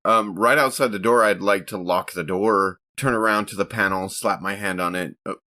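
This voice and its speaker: Bright, forceful low-voiced man. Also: moderate pace, no echo. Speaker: player in a tabletop roleplaying game. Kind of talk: casual play, short tactical descriptions.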